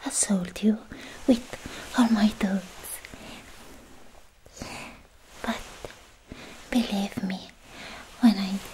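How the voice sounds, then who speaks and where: A woman playing a shy, lovestruck young demon slayer in a whispered, halting ASMR roleplay, sweet and romantic, close on the microphone. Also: medium pitch, slight background noise, natural voice, rough recording. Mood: tender, apologetic. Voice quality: soft, breathy whisper. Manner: hesitant, bashful, halting with many pauses.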